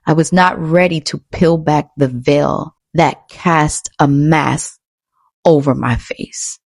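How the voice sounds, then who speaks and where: A woman with a low voice and plenty of vocal fry delivers a frank personal monologue. She is blunt and emphatic, often restating a phrase in short bursts. The sound is close and dry. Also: fairly even intonation, slow, no echo. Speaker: vlogger and author. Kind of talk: candid personal monologue to camera.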